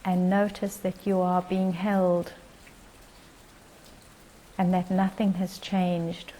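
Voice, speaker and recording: A woman leading a guided meditation as its guide, speaking in gentle, short phrases with long pauses. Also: lowish voice, level tone, slight background noise, poor audio.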